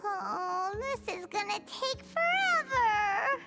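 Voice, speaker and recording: A woman voicing a small, whiny, excitable childlike companion in a video game. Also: very high-pitched, brisk, over loud music. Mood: whining. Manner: whiny, exclamatory.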